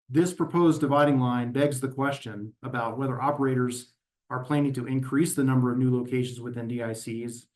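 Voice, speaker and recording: Man giving measured formal testimony. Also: mid-range voice, a little mellow. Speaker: environmental advocacy representative testifying at a regulatory hearing. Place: virtual meeting.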